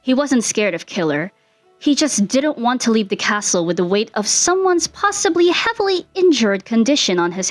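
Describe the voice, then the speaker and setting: Woman narrating a story over music. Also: mid-range voice. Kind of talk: scripted fan fiction narration.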